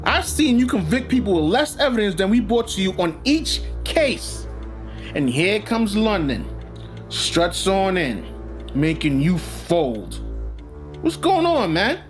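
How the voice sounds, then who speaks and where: Man playing grumbly sardonic police captain in an audio drama, really swingy pitch, bit of music under it. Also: sing-song, slow pace, exaggerated voice. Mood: taunting, accusing. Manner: needling, sardonic. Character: disgruntled male police captain, accusing, taunting, patronizing.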